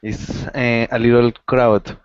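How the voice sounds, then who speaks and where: Smooth, slow, halting Colombian man. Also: medium pitch, little pitch movement, no room sound. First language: Spanish. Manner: apologetic, halting. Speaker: English student. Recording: dull online call audio.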